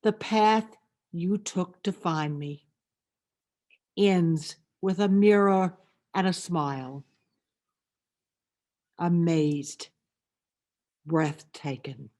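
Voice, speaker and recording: A woman reading a poetic meditation as a grieving, tender mother, solemn, measured and reverent. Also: on the low side, a little mellow. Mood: consoling. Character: grieving, tender mother addressing her suffering son.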